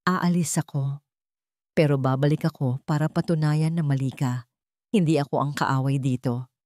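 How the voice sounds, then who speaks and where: A woman's voice: deep voice, fairly even intonation, normal speed, darkish tone, no room sound, voice pushed down, close to an everyday voice. A woman playing an earnest, hurt man who speaks firmly in a story drama. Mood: hurt, resolute. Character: earnest, hurt landowner man pleading his innocence.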